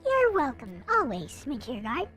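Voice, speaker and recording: A woman's voice: high voice, huge pitch swings, a little slow, fairly bright tone, soft background music. A woman livestreamer chatting casually to her viewers in a hesitant, rambling way. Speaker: live streamer, host.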